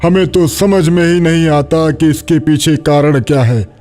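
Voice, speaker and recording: A man's voice: a little high, steady tone, unhurried, mellow, soft background music, close to an everyday voice. A man playing an earnest, superstitious village elder in his eighties for a cartoon horror story. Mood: astonished, puzzled. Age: 80s. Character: superstitious eighty-year-old village elder, alarmed believer in ghosts. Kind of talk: Hindi animated horror story acting.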